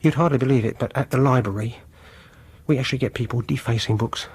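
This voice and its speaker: A prim English music enthusiast of middle age in a radio chiller. His voice is mid-pitched and a little husky. He is over-polite and hesitant, picking at details with a pedantic, faintly sinister fussiness. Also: fast. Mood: prim, disapproving. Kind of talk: radio horror drama acting.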